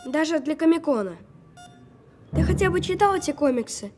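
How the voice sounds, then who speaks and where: A woman's voice: high voice, expressive, normal speed, darkish tone, faint music behind. A woman playing a sceptical, precocious twelve-year-old sick boy with a dry, know-it-all manner in an audio drama. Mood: sarcastic, weary.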